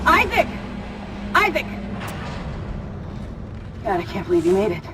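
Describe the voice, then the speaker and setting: A tense ship technician, noisy background. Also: female voice, mid-range voice, very animated, unhurried. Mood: alarmed. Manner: anxious, blunt, urgent. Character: tense, outspoken female technician on a doomed spaceship. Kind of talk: video game dramatic acting. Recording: distant voice in noisy game ambience.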